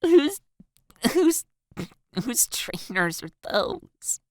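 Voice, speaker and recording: A woman's voice: high voice, sing-song, very slow, dry recording, studio quality, voice pushed up, full character voice. A woman voicing an amused, teasing teenage schoolgirl in a dramatized audio story, gasping and breaking into giggles as she talks. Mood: hysterical amusement.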